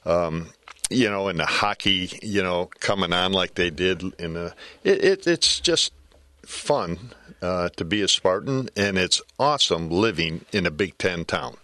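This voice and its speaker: An older American man chatting casually as a sports commentator, often halting as he searches for words. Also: deep voice, lively intonation, sharp tone, no echo. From south side of Chicago, USA. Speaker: baseball color commentator. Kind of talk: casual sports podcast chat.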